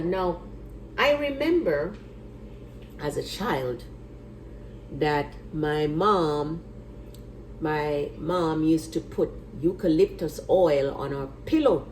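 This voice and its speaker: Low-voiced older woman in an echoey room. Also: takes it slowly. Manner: relaxed and chatty, repeats herself and walks through steps. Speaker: retired nurse sharing a home remedy. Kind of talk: casual home demonstration video. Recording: echoey room with faint background hiss.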